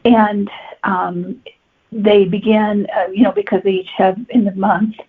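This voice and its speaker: A woman's voice: medium pitch, steady tone, unhurried, slightly rough. A woman novelist being interviewed on a podcast, explaining her work in a conversational, matter-of-fact way. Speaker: guest novelist. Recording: tinny phone-like line.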